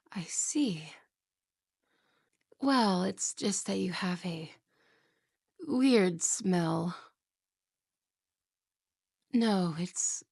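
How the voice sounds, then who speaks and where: A woman's voice: mid-range voice, vocal fry, no room sound, clean studio sound, exaggerated voice. Woman voicing a whispery, menacing jealous wife. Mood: suspicious. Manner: soft, slow, whispery, with giggles. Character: possessive jealous young wife, sweetly menacing. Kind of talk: ASMR roleplay audio.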